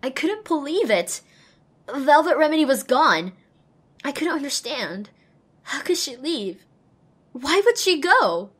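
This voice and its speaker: A woman's voice: sits high, very animated, unhurried, long pauses, a bit bright, exaggerated voice. A woman playing a small, anxious outcast pony mare who tells her own story expressively and introspectively in an audio drama. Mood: distressed, puzzled. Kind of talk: first-person dramatic audiobook narration.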